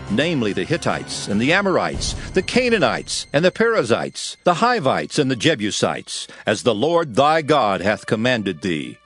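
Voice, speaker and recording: Casting: male scripture narrator, mid-pitched, grave and formal, richly varied intonation, soft music underneath. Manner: solemn, formal, measured.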